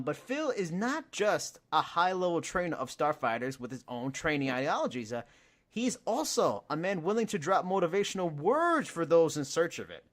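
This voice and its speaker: A man's voice: a little high, a bit bright. A man hosting a sports and fitness podcast, upbeat and jokey, laughing often as he talks. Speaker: podcast host. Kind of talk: casual podcast chat.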